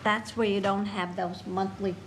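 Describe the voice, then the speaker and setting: Woman, older, New York accent, halting with fillers, earnest, faint background hiss. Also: medium pitch, flat delivery. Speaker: alderman on a city committee. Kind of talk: public meeting discussion.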